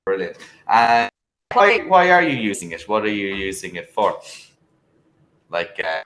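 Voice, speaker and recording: A man's voice: on the low side, big pitch swings, unhurried, fairly bright tone, roomy sound. An Irish man tutoring English over a video call, talking casually and hesitantly with lots of fillers and restarts. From Ireland.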